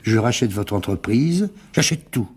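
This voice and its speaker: Husky, wily businessman. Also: medium pitch, lively intonation, normal speed. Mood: unctuous, persuasive. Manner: unctuous, condescending, theatrical. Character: wily older businessman, cowardly then smugly blackmailing. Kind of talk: French radio mystery play acting.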